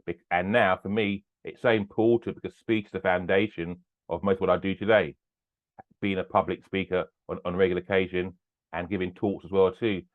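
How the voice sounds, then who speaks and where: A man's voice: low voice, fairly even intonation, brisk. An older man, a business owner and podcast guest, talking earnestly in a casual interview and repeating his phrases. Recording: tinny phone-like line.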